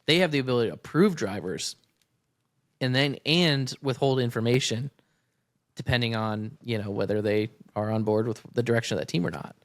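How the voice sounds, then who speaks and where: American man co-hosting, mid-pitched, dry sound. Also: a little fast, no room sound. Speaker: podcast co-host. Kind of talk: casual analytical sports discussion.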